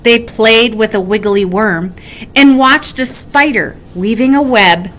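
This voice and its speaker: A woman reading a children's bedtime story aloud in an animated way with dramatic emphasis. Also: a little high, measured pace. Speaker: story reader.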